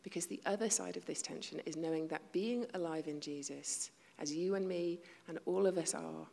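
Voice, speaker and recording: A woman with a fairly low, gravelly voice preaches to her congregation. She talks in a conversational way, pokes fun at herself, and sometimes drops into a near-whisper. The sound rings out with a long reverb, as in a big church hall. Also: normal speed. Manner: conversational, self-deprecating, with hushed asides. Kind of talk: sermon.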